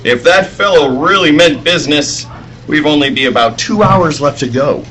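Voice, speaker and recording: Guy acting a polite bashful reporter, highish voice that moves around, radio play, slight noise. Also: lively intonation, moderate pace, fairly bright tone, rough recording. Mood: earnest. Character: mild, modest male newspaper reporter. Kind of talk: live old-time radio drama acting.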